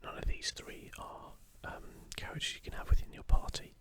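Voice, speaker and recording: A man speaks entirely in a soft, breathy whisper, close to the microphone. He gives ASMR commentary in an informal, slightly hesitant way, as a host showing something to the listener. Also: a little fast.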